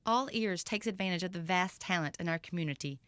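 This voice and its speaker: A woman's voice: on the low side, fast, a bit bright, clean tone, no room sound. A woman narrating a scripted arts magazine feature as a presenter.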